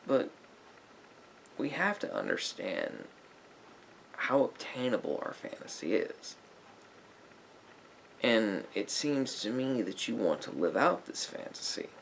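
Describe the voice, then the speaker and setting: A man playing a patient, probing therapist in a soft-spoken ASMR roleplay, with halting, deliberate phrasing and a gravelly voice that drops toward breathy near-whispers. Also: medium pitch, very animated, a little hiss or hum, full character voice, rough recording. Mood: calm, counselling. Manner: halting, deliberate phrasing with pauses and reflective murmurs. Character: patient, probing male therapist gently counselling a client.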